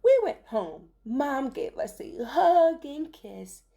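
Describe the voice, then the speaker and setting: A woman reading a rhyming children's story aloud with theatrical, deliberate phrasing. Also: high-pitched, big pitch swings, gravelly, warm tone.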